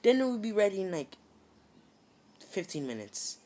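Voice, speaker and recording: The narrator voicing a worried, caring mother in a retold fan story, fussing quickly. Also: mid-range voice, very animated, a little mellow, pitched above the performer's own voice, lightly acted.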